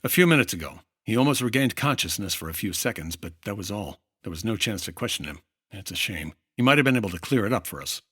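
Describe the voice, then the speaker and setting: An actor playing a wry, hard-boiled insurance investigator in 1950s radio detective style, clipped and theatrical. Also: male voice, on the low side, expressive, fast, pushed and bright, breathy edge, dry recording, clean studio sound, heavily acted. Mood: terse, concerned.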